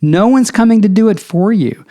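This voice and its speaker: A middle-aged man talks earnestly and urgently, pressing his listeners with rhetorical questions like a coach. His voice sits a little high for a man. It is mellow and warm in tone. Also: animated, moderate pace. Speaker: podcast host and songwriting coach. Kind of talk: motivational podcast monologue.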